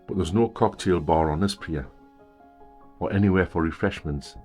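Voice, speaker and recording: A chatty older man narrates his travel vlog from outdoors on a long pier, throwing in wry little asides. His voice sits very low. A clear music bed runs underneath him. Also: normal speed, darkish tone. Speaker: travel vlogger.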